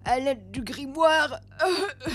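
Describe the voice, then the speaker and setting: Woman as a frail, high-voiced old man. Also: expressive, takes it slowly. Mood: frightened. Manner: hesitant, quavering, pleading. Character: frightened, bedridden sick old male castle servant.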